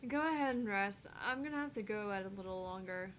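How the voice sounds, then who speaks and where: A woman's voice: mid-range voice, lively intonation, quick pace, a little hiss or hum. A woman co-hosting a visual novel read-along, reading lines and chatting in a casual way. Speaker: co-host voicing a visual novel. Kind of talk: casual chat and amateur script reading.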